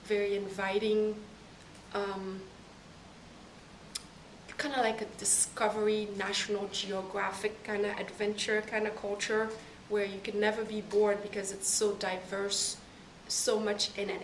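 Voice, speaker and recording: Young woman, thoughtful pauses, far from the mic, echoey room. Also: medium pitch, flat delivery, a little hiss or hum. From Caribbean. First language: French. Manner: thoughtful, pausing between phrases. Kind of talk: interview answers.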